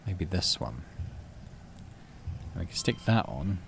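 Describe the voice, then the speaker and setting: A man's voice: sits low, sing-song, slow pace, gravelly, a little hiss or hum. A man casually commentating on a game, thinking aloud in murmured asides and reading story text out. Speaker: gaming channel host.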